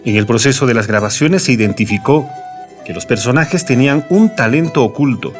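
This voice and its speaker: A man narrates from a script in documentary style. His voice is medium in pitch, with music playing behind it. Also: animated, fairly quick.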